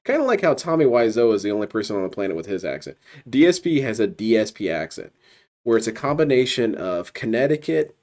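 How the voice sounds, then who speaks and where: Low-voiced sardonic man commentating. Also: sing-song, fast, darkish tone. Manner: sardonic, heckling. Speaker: streamer reacting to another stream. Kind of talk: casual commentary.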